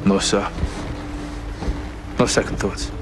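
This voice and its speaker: An actor playing a tense, earnest young sergeant in a military game. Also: male voice, slow, over loud music, natural voice. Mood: resolute. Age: young adult. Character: young male sergeant, earnest, troubled, loyal. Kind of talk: cinematic military game acting.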